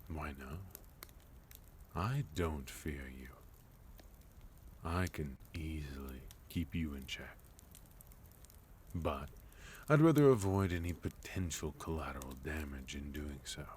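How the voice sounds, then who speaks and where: Male actor as sophisticated villain leader for ASMR roleplay: extremely low, unhurried, formal, quietly threatening, faint noise behind. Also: animated, exaggerated voice. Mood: calmly guarded. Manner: formal, polite, measured, with deliberate pauses. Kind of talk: ASMR roleplay, intimate second-person acting.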